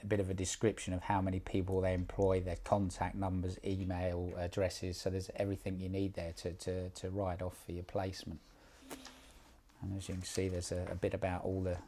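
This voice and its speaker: Man, very deep voice, monotone, dark mellow tone, relaxed mentor talk, lots of ums and repeats. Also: a little fast, slight background noise. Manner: relaxed, repeats words, many fillers. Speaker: job placement mentor. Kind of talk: casual one-on-one advice.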